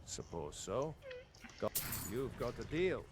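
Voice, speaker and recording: A man's voice: medium pitch, sing-song, slow, heavy background noise. A man playing a plain-spoken horse trader in a fantasy video game, heard at a distance amid background bustle. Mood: businesslike. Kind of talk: video game character dialogue.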